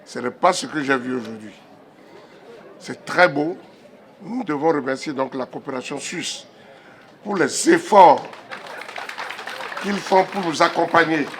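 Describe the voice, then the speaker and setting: A man's voice: on the high side, animated, unhurried, pauses often. A man giving a spoken interview statement as an official. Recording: faint ambient background noise.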